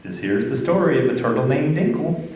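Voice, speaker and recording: A man's voice: mid-range voice, lively intonation, brisk, a little mellow. A man with a folksy Texan drawl giving a playful, dramatic live poetry reading for children and families. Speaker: storytelling poet performer. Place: live reading in an echoey room. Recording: echoey room, distant mic, faint noise.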